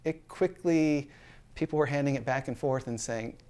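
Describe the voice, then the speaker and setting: A man speaks at a medium pitch in a sports documentary interview. He is reflective, talking in a natural interview style. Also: normal speed, mellow, clear, resonant tone.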